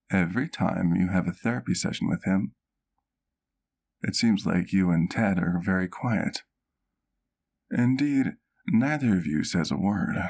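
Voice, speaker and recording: A man's voice: low-pitched, creaky, darkish tone, full character voice. A man playing a courtly, doting vampire doctor in an ASMR roleplay, speaking intimately to the listener in formal, precise words. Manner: formal, precise, measured wording.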